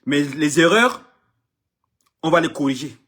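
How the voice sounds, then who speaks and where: A husky, slightly high-voiced middle-aged man from Cameroon, native English speaker, delivering an impassioned address in French. He stresses his points, repeats phrases and trips over words as he defends his artist. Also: animated, a little slow, a bit bright. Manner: emphatic, repeats himself, stumbles over words. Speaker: artist manager.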